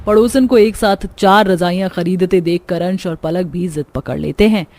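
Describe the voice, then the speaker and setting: A woman's voice: lowish voice, moderate pace, darkish tone. A woman giving scripted narration as the storyteller of a family tale.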